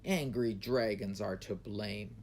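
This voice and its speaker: Storybook emperor, done by a male reader: tyrannical, imperious, quick-tempered, with noise behind. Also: on the low side, darkish tone. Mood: commanding. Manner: commanding, imperious. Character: proud, tyrannical, hot-tempered Chinese emperor. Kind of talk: children's storybook read aloud.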